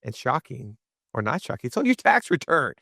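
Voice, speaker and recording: A man co-hosting a finance podcast, upbeat and fired up, with a raspy voice. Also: very animated, fairly quick, bright, forceful tone, dry recording, clean studio sound. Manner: upbeat, hyped, salesy pitch. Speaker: podcast co-host. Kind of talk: energetic podcast chat.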